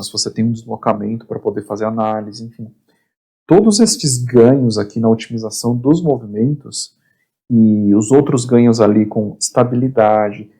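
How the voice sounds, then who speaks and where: Man, hoarse, raspy, online course instructor, short phrases, frequent check-ins. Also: medium pitch, a little mellow. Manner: explains in short phrases, constant "tá?" check-ins, repeats words. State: getting hoarse.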